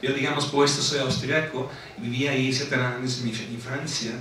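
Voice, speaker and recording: A man's voice: mid-range voice, normal speed. Middle-aged German-accented man, author on a panel, chatty anecdotes, distant hall recording. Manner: animated storytelling, laughing, frequent tag questions. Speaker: author at a book presentation. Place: large lecture hall. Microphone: table microphone on stand. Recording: echoey hall from far off with noise.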